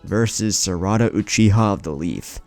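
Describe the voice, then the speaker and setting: A man voicing a commanding, solemn male tournament master in a narrated story, announcing loudly and ceremonially. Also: on the low side, moderate pace, soft background music, natural voice. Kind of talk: narrated fan-fiction story.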